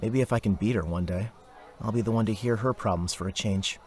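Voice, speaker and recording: A man's voice: fairly low pitch, fairly quick, darkish tone, close to an everyday voice. A man playing a sullen, hot-headed young heir and younger rival in an audio drama. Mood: brooding resolve. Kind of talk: audio drama character acting.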